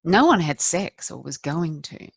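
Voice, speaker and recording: A woman performing a confessional stage monologue as a candid, rueful middle-aged wife, wry and self-deprecating, with deliberate emphatic pauses. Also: low voice, big pitch swings, creaky, breathy edge, no room sound, full character voice. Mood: confiding, defensive.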